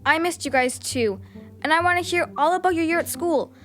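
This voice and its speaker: An actress voicing a spirited, curious young girl with a direct, expressive manner in a children's audio drama. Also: extremely high pitch, a little fast, soft background music. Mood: friendly, wry.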